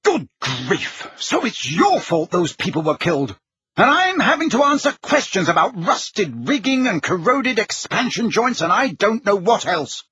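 A man's voice: high-pitched, huge pitch swings, normal speed, a bit bright, husky, no room sound, pitched above the performer's own voice, full character voice. A man voicing an indignant, exasperated prime minister in an audiobook, blustering and incredulous. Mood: furious. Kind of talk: audiobook character acting.

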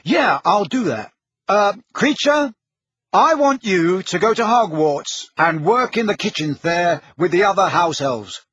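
A man's voice: high-pitched, expressive, unhurried, fairly bright tone, slightly rough, dry recording, muffled recording, voice pushed up, exaggerated voice. A man voicing a teenage boy wizard who talks bluntly and a little flustered. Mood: relieved.

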